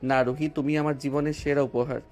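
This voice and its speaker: A man's voice: a little high, level tone, quick pace, a little mellow, close to an everyday voice. A male voice actor playing a romantic young husband who teases his wife tenderly in an audio drama. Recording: music bed underneath.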